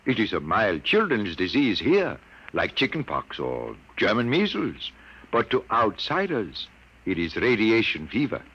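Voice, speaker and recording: Troubled sixty-something scientist, low husky voice, swooping delivery, muffled vintage radio. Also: male voice, huge pitch swings, unhurried, fairly bright tone, exaggerated voice. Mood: grave, explaining. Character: grave older male scientist of Earth, aged sixty-two, troubled. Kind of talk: 1950s radio drama acting. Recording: muffled old radio broadcast.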